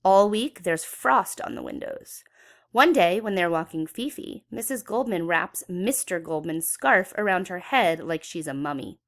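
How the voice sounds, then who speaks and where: A woman's voice: fairly low pitch, animated, a little slow. A woman reading a children's story aloud expressively, with dramatic emphasis for young listeners. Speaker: children's book read-aloud educator.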